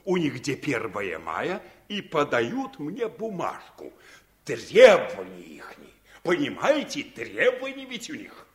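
Sneering rich factory owner, old radio play, highish voice, roomy echo. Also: male voice, huge pitch swings, slow pace, full character voice. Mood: indignant. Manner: blustering, sneering, scornful. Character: arrogant, greedy male factory owner, contemptuous and blustering. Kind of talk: Soviet radio play acting.

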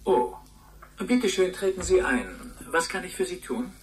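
An actor in a German radio drama playing a polite, pedantic service robot with a formal, precise manner. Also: male voice, high voice, expressive, measured pace, background noise.

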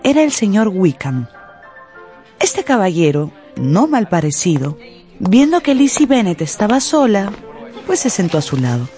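A woman's voice: medium pitch, sing-song, measured pace, dark tone, faint music behind. A woman narrating a radio drama as a scripted storyteller.

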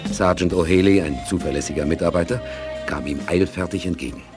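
Deep-voiced male audio drama narrator. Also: fast, over music.

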